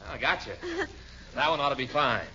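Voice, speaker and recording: A man's voice: mid-range voice, fairly quick, bright, forceful tone. An actor playing a bashful, lovestruck young man with a shy, hesitant manner, in 1940s radio comedy style. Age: young adult. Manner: shy, hesitant, gee-whiz. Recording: muffled, noisy old radio recording.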